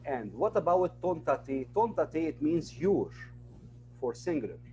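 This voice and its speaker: A man's voice: on the high side, big pitch swings, normal speed, mellow, muffled recording, slight background noise. A man teaching an online class, patiently repeating each word and example for his students.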